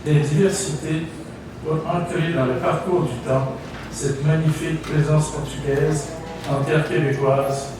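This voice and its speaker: A man reading a text aloud slowly and solemnly. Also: a little high, fairly even intonation. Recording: very echoey, distant, noisy.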